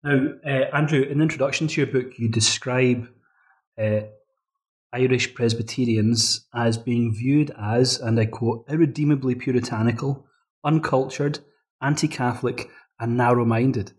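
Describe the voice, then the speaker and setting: A man's voice: mid-range voice, fairly even intonation, moderate pace. A man hosting an interview podcast, polite and formal as he introduces his guest. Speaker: podcast host. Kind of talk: interview host. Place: university office. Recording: roomy echo.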